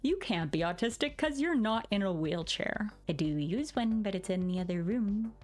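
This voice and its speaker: A woman's voice: medium pitch, animated, normal speed. A middle-aged woman doing a dramatic, sarcastic reading with asides, in a theatrical manner. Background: music behind the voice.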